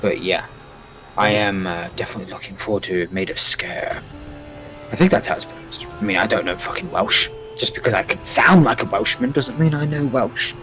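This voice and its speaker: A man's voice: fairly low pitch, fairly quick, sharp tone, soft background music. A man co-hosting a casual gaming podcast, swearing freely and laughing often. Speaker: podcast co-host.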